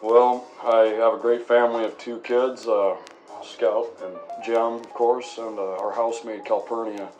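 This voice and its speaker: A man playing a measured, principled lawyer and stern father in an acted radio interview, formal in his speech. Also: medium pitch, level tone, faint music behind, close to an everyday voice, poor audio. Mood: measured, earnest. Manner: measured, formal, gently admonishing.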